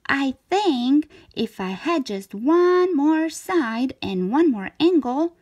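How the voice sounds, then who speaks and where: A woman voicing a restless cartoon shape from a children's storybook, with emphatic, drawn-out words. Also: high-pitched, animated, a little mellow, pitched above the performer's own voice. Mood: wishful. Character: restless, dissatisfied cartoon shape, eager for more.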